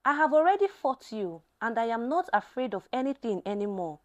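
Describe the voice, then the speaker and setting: Lady narrator as brave troubled mechanic girl in a story, defiant, earnest, high voice. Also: big pitch swings, a little fast. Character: fearless young woman mechanic with troubling magical power.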